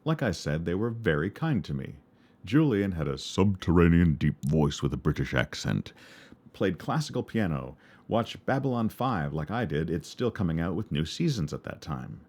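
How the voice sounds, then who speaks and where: A man with a baritone voice, narrating a memoir for his podcast in a wry, reflective way. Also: sing-song, moderate pace, darkish tone. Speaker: podcast host.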